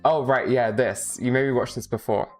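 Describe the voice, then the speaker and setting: A man's voice: mid-range voice, steady tone, normal speed, soft background music. Tired, rambling streamer guy. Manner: rambling, joking, loose with filler words. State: tired from poor sleep. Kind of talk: casual livestream chat.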